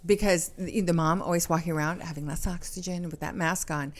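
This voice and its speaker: A woman's voice: fairly low pitch, fairly even intonation, fairly quick. An American woman in casual interview conversation, informal and opinionated.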